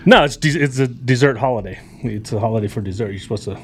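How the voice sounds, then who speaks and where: Very fast-talking man, bantering co-host. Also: mid-range voice, slight background noise. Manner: interjecting, teasing, repeats short phrases. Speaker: talk show co-host. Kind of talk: casual banter.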